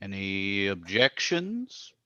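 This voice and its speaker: A man's voice: low voice, sing-song, slow pace, sharp tone, no room sound, full character voice. A man playing a sly, mistrustful, sardonic racketeer in a 1940s-style radio drama, in dull, muffled sound. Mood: wary, needling. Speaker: actor in an old-time radio drama revival.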